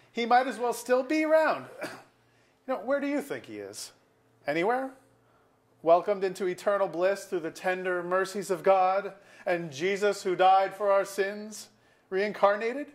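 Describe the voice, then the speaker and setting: A man's voice: high-pitched, big pitch swings, moderate pace. A man acting a sardonic, middle-aged small-town lawyer with a grudge in a staged play reading, wry and wordy. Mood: bitterly gleeful. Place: auditorium.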